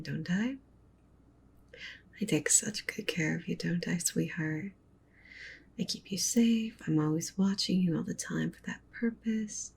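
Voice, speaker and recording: Casting: yandere wolf-witch girlfriend, played by a woman, ASMR roleplay. Lowish, creaky, whispery; doting, possessive, gently bossy. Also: a little hiss or hum. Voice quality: soft, breathy whisper mixed with low murmur. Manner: coaxing, pet names, softly commanding.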